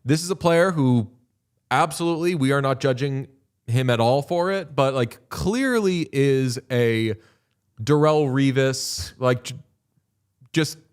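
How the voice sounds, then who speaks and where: A man's voice: mid-range voice, measured pace, clean tone. A man co-hosting a hockey podcast, chatting casually and joking around. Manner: joking, riffing, does silly voices of players. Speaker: podcast co-host. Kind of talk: casual sports chat.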